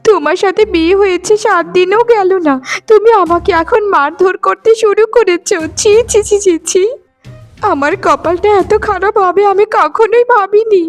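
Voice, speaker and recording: A woman performs a wronged young bride in a cartoon melodrama, her voice extremely high. She pleads and wails with tearful remorse, over faint background music. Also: moderate pace. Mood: hurt, indignant. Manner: wailing, pleading, repetitive.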